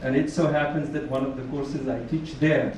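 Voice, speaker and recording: A man's voice: mid-range voice, fairly even intonation, moderate pace, darkish tone, a little hiss or hum. Bangladeshi man speaking in an echoey hall. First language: Tripura. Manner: formal, thoughtful, with frequent restarts. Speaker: panel speaker, university lecturer. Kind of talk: conference talk. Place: large conference hall. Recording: distant echoey hall recording.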